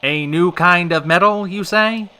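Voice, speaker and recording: An actor playing a wry, smooth-talking radio host with a wordy, polished, dryly sarcastic manner in an old-time radio drama. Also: male voice, sits high, measured pace, bright, forceful tone, exaggerated voice. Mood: dryly patient.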